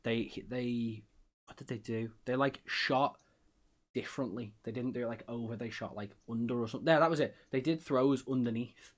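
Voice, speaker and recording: A man's voice: mid-range voice, fairly even intonation, normal speed. A man with a Scouse accent hosting a podcast in casual chat, rambling and self-deprecating with lots of fillers. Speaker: podcast host.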